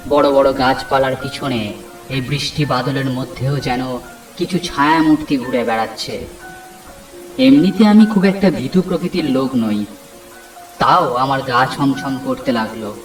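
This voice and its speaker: A man narrating a scripted first-person horror story in a dramatic, suspenseful storytelling manner. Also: mid-range voice. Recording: echoey, distant voice over music. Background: background music.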